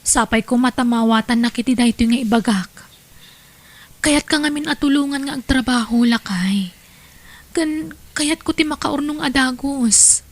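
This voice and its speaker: A woman's voice: high-pitched, normal speed, long pauses. A woman with an Ilocano Filipino accent playing a stubborn, ambitious young wife and mother in an audio drama. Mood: pleading.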